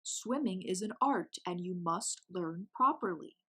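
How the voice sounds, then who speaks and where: Strict storybook penguin schoolmaster, acted by a woman, slow, formal, cautioning and instructive. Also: fairly low pitch, animated, a bit bright, husky, full character voice. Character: strict formal male penguin teacher, cautioning his pupils.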